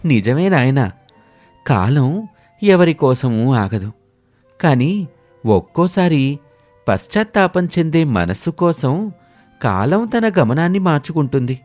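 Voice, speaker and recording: Man voicing a solemn old sage, raised pitch. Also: big pitch swings, darkish tone, faint music behind. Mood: solemn, guiding. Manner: grave, deliberate, prophetic.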